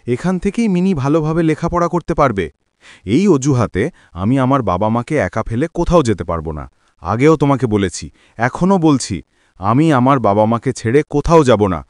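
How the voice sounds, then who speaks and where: A man plays a devoted young husband and son in a cartoon moral story. His delivery swings widely in pitch and is earnest and pleading. The recording is close and dry. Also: big pitch swings, brisk, no echo, natural voice. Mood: firm, pained. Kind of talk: dramatized cartoon voice acting.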